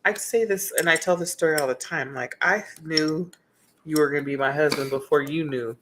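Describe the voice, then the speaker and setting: A woman's voice: sits low, brisk, noisy background. A woman co-hosting a casual chat with her husband, playful and often laughing. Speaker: co-host.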